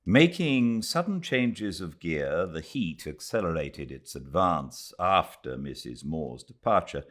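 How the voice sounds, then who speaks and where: A man from Britain who narrates audiobooks, chatting casually and then reading a classic novel aloud by his fireplace at home. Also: rumbling low. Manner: relaxed, chatty intro then measured literary reading. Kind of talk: live fireside book reading.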